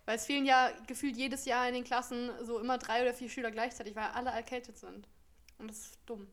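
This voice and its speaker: A woman's voice: on the high side, flat delivery, quick pace, a bit bright. Giggly rambling young woman chatting. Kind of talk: casual chat.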